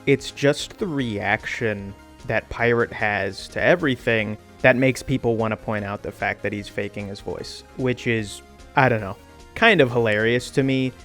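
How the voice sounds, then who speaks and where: A man's voice: mid-range voice, moderate pace, a bit bright, soft background music. A man delivering scripted video commentary in a casual, opinionated way. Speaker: video essay narrator.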